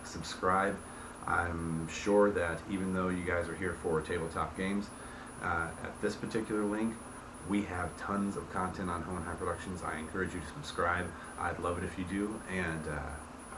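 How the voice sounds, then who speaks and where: A deep-voiced man gives a casual, informal explanation as a game master, checking in with his listeners as he goes. The recording is roomy and echoey, with audible noise behind him. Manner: informal, checks listeners follow with tag questions. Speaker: host explaining house rules as dungeon master. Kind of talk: casual explanatory talk to camera. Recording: echoey room with background noise.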